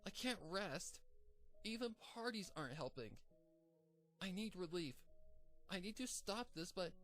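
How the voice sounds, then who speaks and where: A man doing a frantic, high-pitched pony girl. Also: animated, a little slow, a bit bright, faint music behind. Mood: distraught. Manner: high, pleading, breaking into despair. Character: frantic, sleepless young female pony.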